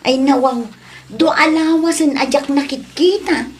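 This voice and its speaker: A nosy neighbour woman with a fairly high voice. She is opinionated, acted in radio-drama style with an Ilocano accent. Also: measured pace. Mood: sympathetic, advising.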